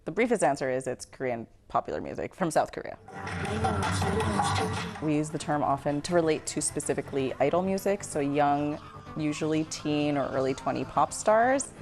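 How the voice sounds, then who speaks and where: A woman's voice: deep voice, animated, normal speed, over music. A woman narrating an explainer documentary.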